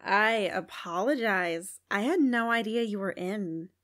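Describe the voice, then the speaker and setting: A woman's voice: mid-range voice, unhurried, a bit bright, lightly acted. A woman playing a sly, gossiping castle maid in an audio drama, with a theatrical, teasing manner. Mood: apologetic, flustered.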